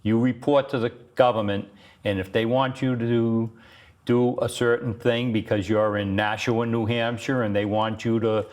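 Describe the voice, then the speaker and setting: A man's voice: medium pitch, level tone, normal speed, darkish tone. A man hosting a local community TV talk show, plainspoken and hesitant, with frequent ums. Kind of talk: casual political talk show.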